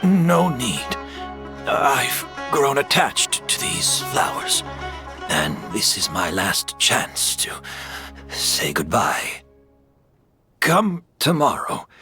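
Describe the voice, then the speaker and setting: A frail, kindly old master gardener in a video game. He speaks slowly and softly, with a bright tone and a lively lilt to his lines. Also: mid-range voice, full character voice. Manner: soft, kindly, unhurried. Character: gentle older male master gardener, frail but warm.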